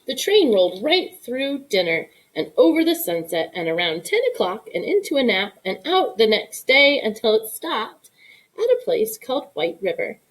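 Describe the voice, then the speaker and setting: A woman giving an expressive read-aloud narration of a children's story. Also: on the high side, normal speed, rough recording. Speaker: storybook reader.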